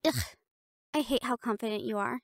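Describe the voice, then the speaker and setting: A woman playing a bossy, sharp-tongued young catgirl cheerleader captain in a scripted audio roleplay. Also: a little high, moderate pace, dry recording, studio quality, close to an everyday voice. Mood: teasing. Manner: bossy, sassy, emphatic.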